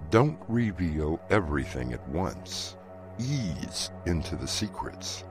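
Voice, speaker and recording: Deep, slow, ominous male narrator. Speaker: narrator and host. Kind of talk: ominous horror-anthology narration. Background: music.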